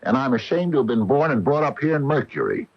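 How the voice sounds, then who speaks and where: A man's voice: medium pitch, sing-song, fast. An actor playing an indignant, stubborn small-town man in a radio mystery drama, speaking emphatically with folksy phrasing. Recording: muffled, noisy 1980 AM radio broadcast.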